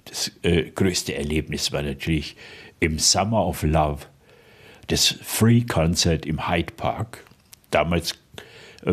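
An older man from Franconia with a Franconian accent, a radio interview guest reminiscing slowly and thoughtfully, often pausing with hesitations. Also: sits low, slightly rough. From Franconia, Germany. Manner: slow, thoughtful, frequent hesitations with "äh". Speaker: interview guest, dialect poet.

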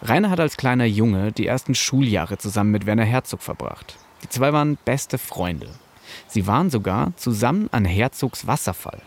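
Creaky-voiced man narrating a podcast. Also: medium pitch, animated, normal speed. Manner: casual, personal storytelling. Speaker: podcast host and reporter.

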